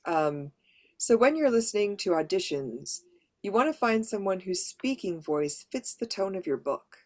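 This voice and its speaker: A woman with a mid to lower voice who narrates audiobooks, giving a practical, conversational workshop presentation to writers. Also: animated, normal speed. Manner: practical, conversational, explaining step by step.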